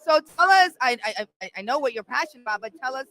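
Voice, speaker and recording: Brief: high, bright woman host, animated and gushing, live podcast event. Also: quick pace, rough recording. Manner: animated, enthusiastic, talks with her hands. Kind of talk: casual chat at a podcast event.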